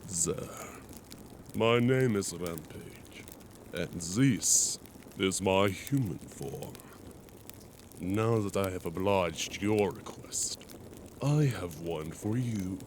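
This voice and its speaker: Man playing a slow-spoken, dignified werewolf. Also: sits low, a little mellow, a little hiss or hum. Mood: formal, probing. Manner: slow, formal, deliberate. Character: dignified male werewolf, proud, courteous pack member. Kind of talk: cinematic audio roleplay acting.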